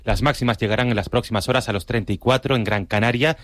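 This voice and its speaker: A man reading a radio news bulletin with a crisp, brisk delivery. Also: medium pitch, fairly bright tone, no echo, studio quality. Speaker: newsreader.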